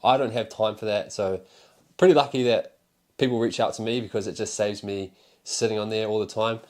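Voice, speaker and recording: Young kiwi guy vlogging, kinda low voice, rambly, swears now and then. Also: steady tone, brisk, darkish tone. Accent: New Zealand. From New Zealand. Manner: rambling and candid, with frequent fillers, laughs and swearing. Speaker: card seller and content creator. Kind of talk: casual talking-to-camera vlog.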